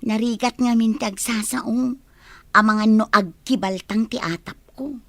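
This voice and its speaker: A woman's voice: a little high, steady tone, normal speed, fairly bright tone, no room sound. A woman playing a suspicious, meddling friend who hints carefully in an Ilocano radio drama. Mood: probing, suspicious.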